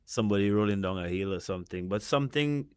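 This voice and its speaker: A man hosting a podcast interview who laughs often and talks in an eager, expressive way. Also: fairly low pitch, fast, smooth, no echo, studio quality. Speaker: podcast host. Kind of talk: casual interview.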